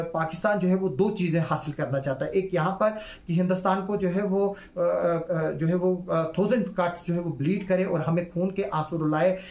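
A man in his forties delivers news commentary straight to camera, his voice sitting slightly high. He keeps stopping on hesitant fillers as he talks on earnestly. The small room around him rings with echo. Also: darkish tone. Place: small indoor room with curtain backdrop. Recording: echoey room.

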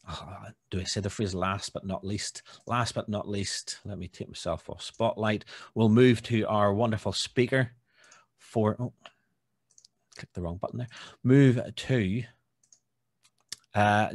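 A man in his fifties speaking informally and a little haltingly as chair of an online meeting. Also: on the low side, slightly rough, no room sound. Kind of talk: informal meeting hosting and self-introduction. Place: indoors on video call. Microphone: computer mic on video call.

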